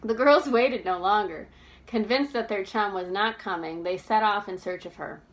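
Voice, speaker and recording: A woman narrates a novel aloud in a medium-pitched voice. Her delivery is expressive and careful, telling the story with a sense of drama. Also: moderate pace.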